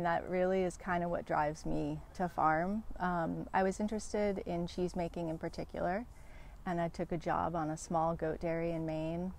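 A woman in her forties, a farmer, answering interview questions outdoors in a garden in a relaxed, candid way. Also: on the low side, vocal fry, a little hiss or hum. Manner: relaxed and candid, with fillers and small laughs. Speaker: guest farmer.

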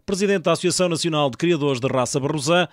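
A man's voice: fairly high pitch, fast, dry recording, studio quality. A man with a European Portuguese accent reading scripted narration for a regional news report.

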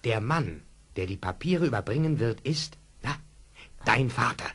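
A man's voice: medium pitch, lively intonation, unhurried, a bit bright, breathy edge. An actor playing a secretive, persuasive middle-aged man in a German children's radio play, speaking with conspiratorial urgency. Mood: confiding. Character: secretive scheming man confiding in boys, smoothly persuasive. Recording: noisy old audio drama recording.

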